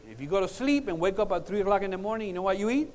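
Middle-aged man, high-pitched voice, storytelling preacher, emphatic pauses, reverberant hall. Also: animated, a little mellow. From Puerto Rico, born in Cuba. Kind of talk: sermon with humorous personal stories. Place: large conference hall, on stage at a lectern. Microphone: lapel mic. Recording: reverberant hall sound system.

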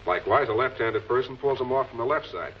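A man's voice: mid-range voice, a little hiss or hum, lightly acted. Muffled old-film prosecutor, composed and commanding, played by a man. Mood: reasoning. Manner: measured, commanding, deliberate. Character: composed, authoritative male public prosecutor cornering murder suspects. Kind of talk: 1940s television crime drama acting.

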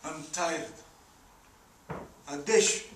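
An actor playing a stubborn, weary immigrant landowner on stage, with clipped non-native phrasing and an emphatic, dismissive manner. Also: male voice, fairly high pitch, extremely slow, slight background noise. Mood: weary, anxious. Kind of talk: stage play acting. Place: indoor theater stage. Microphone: far camera. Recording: echoey theater stage, distant camera mic.

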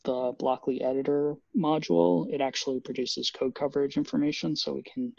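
A man, a software developer, explaining technical updates at a team meeting on a video call, thoughtful and full of fillers. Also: mid-range voice, level tone, brisk, creaky, no room sound. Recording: dull lo-fi video call audio.

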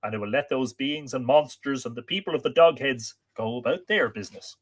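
A man's voice: mid-range voice, fairly quick, lightly acted. A man voicing an earnest, melancholy and stubborn adventurer in a storybook tale, speaking in a declamatory way. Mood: resolute.

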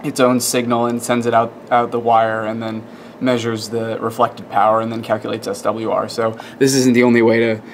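A man's voice: mid-range voice, level tone, normal speed, slight background noise. A man giving an informal, hands-on technical explanation in an unscripted, hesitant and methodical way. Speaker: presenter of an electronics demonstration. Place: indoor electronics workbench. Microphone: camera microphone, behind the camera.

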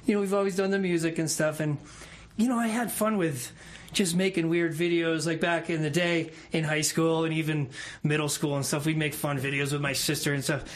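A man's voice: on the high side, steady tone, brisk. A man talking casually as a musician guest on a radio show, joking and rambling along. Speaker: musician and video-maker guest.

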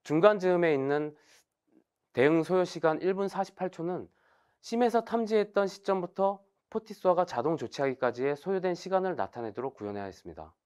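A man in his thirties giving a formal, scripted technical webinar presentation in a measured, explanatory way. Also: high voice, animated, a little mellow, clean tone.

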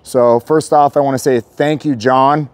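A middle-aged man with an American accent presents a truck in a conversational, explanatory way. His voice sits at an average male pitch. He is recorded outdoors, so the sound is dry with little reflection. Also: steady tone, unhurried, no room sound. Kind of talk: casual vehicle walkaround to camera. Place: outdoors in a paved loading yard.

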